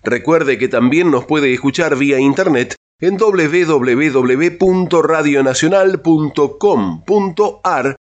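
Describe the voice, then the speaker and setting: A man's voice: fairly high pitch, takes it slowly, hardly any pauses, muffled recording. A man hosting a folk music radio program, reading greetings and contact details in a scripted announcer style. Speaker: radio host.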